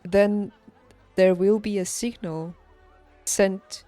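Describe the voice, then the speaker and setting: Slow-paced woman chatting on stream. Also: medium pitch, steady tone, mellow, dry recording. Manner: halting, thinking aloud, laughs easily. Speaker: game streamer. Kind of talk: casual livestream chat over gameplay. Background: game music.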